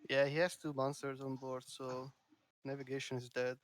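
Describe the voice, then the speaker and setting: A man co-casting a card game match on stream, calm and matter-of-fact. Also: medium pitch, moderate pace, slight background noise. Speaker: co-caster. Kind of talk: esports game commentary.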